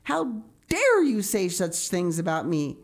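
A woman's voice: mid-range voice, wildly swooping pitch, takes it slowly, pitched above the performer's own voice, heavily acted. A woman voicing a fiery, passionate and wounded young orphan girl with vehement, dramatic delivery for a storybook reading. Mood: furious, hurt.

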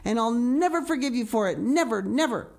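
A woman's voice: a little high, animated, fairly quick, vocal fry, a little mellow, pitched above the performer's own voice. A woman voicing a fiery, passionate and wounded young orphan girl with vehement, dramatic delivery for a storybook reading. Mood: furious, hurt.

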